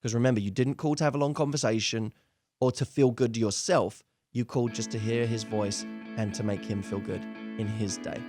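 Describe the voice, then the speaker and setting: A man's voice: mid-range voice, steady tone, a little fast, a little mellow, faint music behind. A man working as a dating coach, giving conversational advice straight to viewers in an emphatic way, slipping into acted-out example dialogue. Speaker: dating coach and show host.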